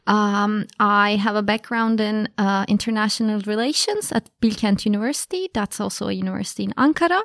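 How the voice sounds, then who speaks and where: A woman's voice: mid-range voice, brisk, hardly any pauses, dry recording, studio quality. A young woman from Turkey, a graduate student explaining her topic as a podcast guest, thoughtful with frequent fillers. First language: Turkish. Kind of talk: explanatory interview answers.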